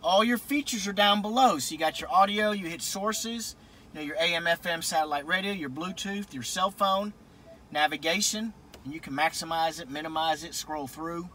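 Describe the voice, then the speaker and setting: A high-pitched middle-aged man talks in a casual, folksy way, as if showing a vehicle to viewers. He is recorded on a camera inside a truck cab, with faint noise behind him. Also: moderate pace, sharp tone. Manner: folksy, informal. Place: inside a pickup truck cab in a parking lot. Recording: camera audio with cabin noise.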